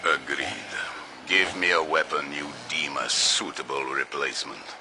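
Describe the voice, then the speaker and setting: An actor playing a terse, menacing warrior champion in an audio drama, speaking quietly and curtly. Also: male voice, rumbling low, a little slow, noisy background. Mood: cold, assured.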